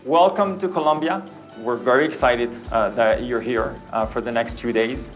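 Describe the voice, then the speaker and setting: A man's voice: mid-range voice, normal speed. Guy from the trade office, upbeat, lots of uhs, music bed under it. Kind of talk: interview and welcome remarks. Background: background music.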